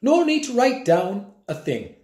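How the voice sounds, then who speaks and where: A woman's voice: on the high side, wildly swooping pitch, unhurried, mellow, voice pushed up. A man reading a storybook aloud, voicing a prim, stern female schoolteacher who talks in a haughty, clipped, dismissive way. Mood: scornful. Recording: roomy echo.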